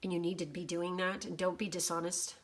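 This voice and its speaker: A woman with a slightly worn, hoarse voice giving a casual general oracle card reading, swearing freely. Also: fairly low pitch, little pitch movement, fairly quick. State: voice going hoarse. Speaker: tarot reader.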